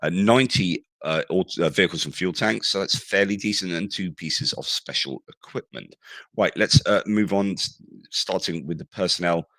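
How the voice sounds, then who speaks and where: A man with a hoarse, croaky voice, giving a casual spoken war news update, rambling and often correcting himself mid-sentence. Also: sits low, moderate pace, fairly bright tone, dry recording. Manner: rambling, with frequent self-corrections. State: hoarse from shouting at concerts.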